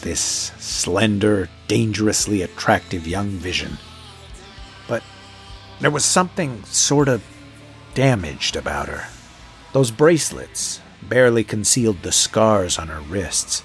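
A young late-night radio DJ, eager and a little smitten, in an audio drama. His pitch moves around a lot as he talks in loose, slangy phrases. Faint music sits behind him. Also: expressive, a little slow. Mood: brooding narration. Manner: casual, slangy, talkative.

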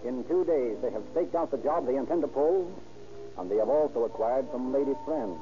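A man narrating an old radio crime drama in a formal, measured announcing manner. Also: medium pitch, lively intonation. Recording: dull old radio recording with music behind.

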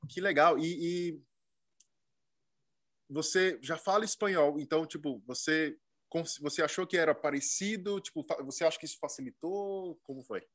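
A man's voice: on the high side, moderate pace, a bit bright. A man hosting a casual interview, talking loosely with frequent fillers and prompting the guest. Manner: chatty, many fillers and backchannels. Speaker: interviewer host.

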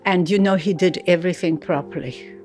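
An older woman from Poland, slowly and thoughtfully recalling her life in an interview, with soft laughs. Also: fairly low pitch, steady tone, faint music behind. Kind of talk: reflective personal recollection.

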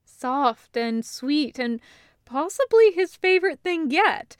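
A woman narrator reading scripted fiction in a measured, understated storytelling manner. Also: high-pitched, expressive, no echo, clean studio sound. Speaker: audiobook narrator.

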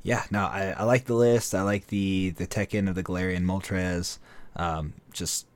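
A man hosting a casual card game podcast, chatty and relaxed, with fillers and restarts. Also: deep voice, normal speed. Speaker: podcast host.

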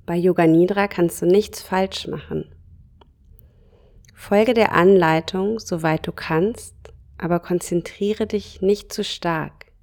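A woman leads a guided yoga nidra meditation in a soft, calm voice. She speaks very slowly, leaving long pauses between short phrases, in a fairly low register.

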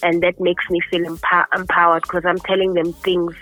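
A woman's voice: fairly low pitch, little pitch movement, moderate pace, bright, forceful tone, no echo, soft background music. Woman with a level voice on a phone line. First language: Xhosa. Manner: thoughtful, self-assured, with frequent restarts. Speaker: singer being interviewed. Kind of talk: phone interview. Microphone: telephone.

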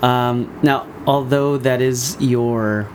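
A man's voice: mid-range voice, steady tone, takes it slowly, slight background noise. A man hosting a casual interview, friendly and loose, with slangy phrasing and frequent laughs. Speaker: podcast host.

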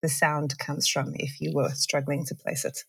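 Woman, 40s, South African accent, husky low voice, thoughtful guest, quick to laugh. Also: level tone, a bit bright, dry recording. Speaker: podcast guest. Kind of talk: conversational interview.

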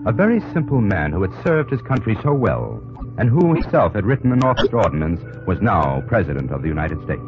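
A man narrating a historical radio drama in a solemn, declamatory old-time style. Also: on the low side. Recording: muffled old radio broadcast over loud music. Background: loud dramatic music score.

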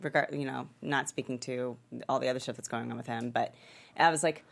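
A woman chatting casually as a panelist, feeling out her phrases in an informal, halting way. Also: rumbling low, steady tone, gravelly. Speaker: table read panelist. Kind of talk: casual chat.

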